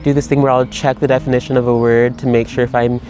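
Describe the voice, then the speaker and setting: A man of ordinary pitch talks in a loose, reflective monologue, circling his thoughts with frequent fillers and restarts. Faint music sits underneath. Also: flat delivery, fast, darkish tone. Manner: rambling, reflective, many fillers. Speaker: writer. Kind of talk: personal video monologue. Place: Berlin.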